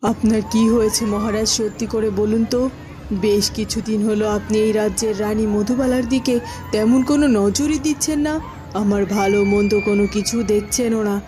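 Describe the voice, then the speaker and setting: Fairy-tale queen, female actor: medium pitch, aggrieved and crafty, prominent music bed. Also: steady tone, a little fast, natural voice. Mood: reproachful. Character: neglected, scheming, reproachful queen. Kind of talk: fairy-tale cartoon voice acting.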